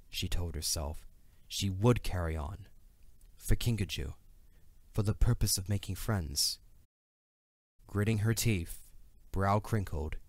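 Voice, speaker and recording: A man's voice: deep voice, no echo. A man reading scripted third-person story narration as the narrator of an audio drama.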